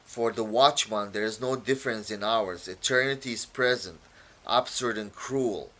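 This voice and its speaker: A man from Romania, whose first language is Romanian, explains his own poem in a halting way, full of fillers and repeated words. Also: lowish voice, sharp tone, poor audio. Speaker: poet.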